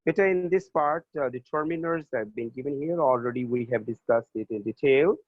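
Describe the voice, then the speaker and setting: A man's voice: medium pitch, normal speed, no echo. A male teacher giving a class lesson remotely, repeating each answer and spelling words out letter by letter. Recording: tinny, phone-like lo-fi sound.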